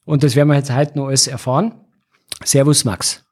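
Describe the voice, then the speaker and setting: A man with a Bavarian accent hosting a podcast interview in an informal, somewhat halting conversational way. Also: medium pitch, little pitch movement, a little mellow.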